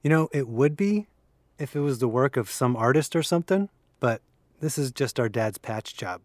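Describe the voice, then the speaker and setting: A man's voice: mid-range voice, animated, moderate pace, dry recording, natural voice. A man playing a bookish young man in an audio drama, talking in an articulate, matter-of-fact way. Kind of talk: scripted audio drama, conversational.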